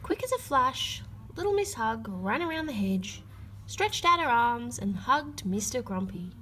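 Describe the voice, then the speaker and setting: A woman reading a children's picture book aloud, with expressive emphasis and drawn-out key words. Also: on the high side, a little hiss or hum, rough recording. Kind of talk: read-aloud storytelling for children.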